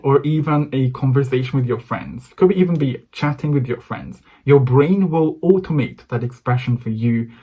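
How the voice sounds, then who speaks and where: A man's voice: medium pitch, mellow. A young man with a Hungarian accent, a native Hungarian speaker, giving an encouraging to-camera English lesson. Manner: encouraging, direct address to viewer. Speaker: English coach.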